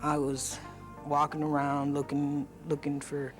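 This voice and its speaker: A woman's voice: deep voice, completely flat delivery, a little slow, music in the background. A woman with an American accent, recounting events casually and vividly in an interview, in colloquial speech. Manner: colloquial, vivid retelling with quoted thoughts. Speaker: interviewee, attack survivor.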